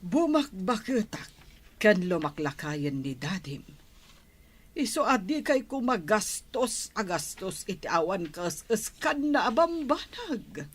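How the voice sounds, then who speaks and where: A fed-up older Filipino mother, played by a woman in a village radio drama. She scolds and nags with very lively swings of pitch over an ordinary middle register. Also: slow pace, breathy edge, exaggerated voice. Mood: scolding. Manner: nagging, admonishing. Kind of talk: Ilocano radio drama acting.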